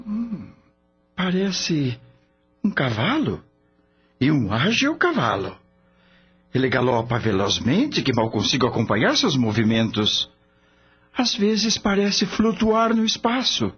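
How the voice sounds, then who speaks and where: An actor playing a naive, curious young man new to the spirit world in a radio drama, husky, wondering and hesitant. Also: fairly high pitch, big pitch swings, slow, pauses often, full character voice. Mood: amazed. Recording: dull lo-fi audio with background music.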